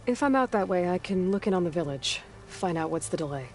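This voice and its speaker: A woman playing a calm, capable young warrior heroine in a video game, speaking in a measured way. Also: fairly low pitch, faint music behind, close to an everyday voice. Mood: concerned, helpful.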